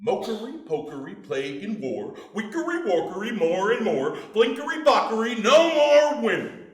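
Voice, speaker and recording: Man acting a menacing stage boogeyman, high voice, swooping delivery, theatrical chanting, echoey room. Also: very animated, normal speed. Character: menacing boogeyman judge chanting nonsense curses. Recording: echoey room, far from microphone.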